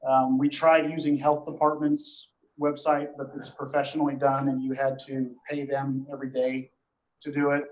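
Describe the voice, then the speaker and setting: A man gives an informal report to a board at a meeting, explaining things in a halting, matter-of-fact way. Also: mid-range voice, little pitch movement. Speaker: emergency dispatch official reporting to a board. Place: indoor meeting room. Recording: echoey meeting room, dull muffled audio.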